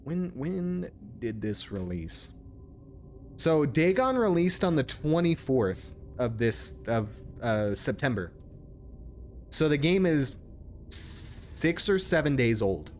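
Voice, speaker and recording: Man streaming with lively, swinging intonation. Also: on the high side, slow pace, a little hiss or hum. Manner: informal, sweary, joking. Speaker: game streamer. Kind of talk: casual live playthrough commentary, reading text aloud.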